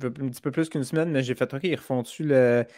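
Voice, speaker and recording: A man's voice: medium pitch, steady tone, fast talker, a little mellow, no room sound, studio quality. A man with a Quebec French accent in a casual group chat, colloquial and bantering as a panelist. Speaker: talk show panelist.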